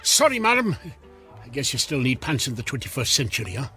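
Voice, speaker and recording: An actor playing a naive, old-fashioned time traveler in a radio drama, flustered and impatient, with a folksy plain way of talking. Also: male voice, medium pitch, very animated, fairly quick, pushed and bright, faint music behind. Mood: naive, puzzled. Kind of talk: comedic radio drama acting.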